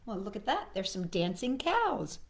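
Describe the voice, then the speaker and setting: A woman's voice: mid-range voice, sing-song, moderate pace, gravelly, reverberant. A woman hosting a storytime for young children, reading and singing in a playful way and addressing her listeners directly. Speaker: children's storytime host with a puppet sidekick.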